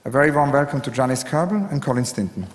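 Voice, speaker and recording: A man's voice: mid-range voice, expressive, quick pace. A man hosting an event, giving a polite, formal introduction that is partly read out. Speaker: event host introducing speakers. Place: live on stage in a large hall. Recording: echoey hall with long reverb.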